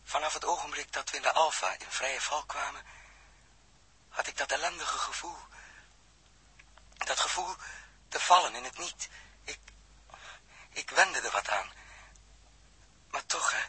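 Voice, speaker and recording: Stranded spaceman in old radio drama, super husky breathy, slow halting talk, grave n intense. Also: a little high, sharp tone, full character voice. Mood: awed, haunted. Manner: halting, intense, pausing between phrases. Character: stranded astronaut, grave and brooding, facing death. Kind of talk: 1960s radio drama acting.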